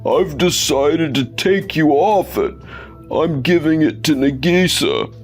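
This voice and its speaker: A man playing a stern, measured company boss and father in a manga dub, speaking with authority. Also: on the high side. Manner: deliberate, authoritative. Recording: music under the voice.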